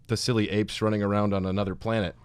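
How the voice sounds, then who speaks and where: A man's voice: on the low side, little pitch movement, quick pace, clean tone, no echo. A man hosting a podcast, chatting in a laid-back, slangy, chummy way. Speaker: podcast host. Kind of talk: casual chat.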